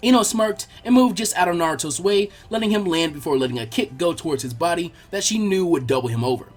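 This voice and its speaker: Higher-pitched man narrating a story. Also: animated, fast, soft background music.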